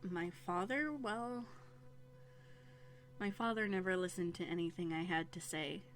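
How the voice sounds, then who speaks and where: Woman acting as young runaway princess in an audio roleplay, kinda low voice, earnest and a bit hesitant, music behind. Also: a little slow, lightly acted. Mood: bitter, wounded. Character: young runaway princess, bookish, grateful, quietly bitter. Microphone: close USB condenser microphone.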